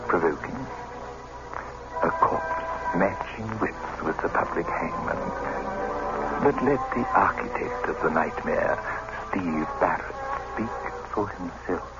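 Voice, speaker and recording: An actor playing a sinister, sardonic horror host on old-time radio, delivering every phrase in a theatrical, ominous way. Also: male voice, low voice, takes it slowly. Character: sinister, sardonic male horror-show host, slyly relishing murder. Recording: dull vintage radio broadcast, loud music behind.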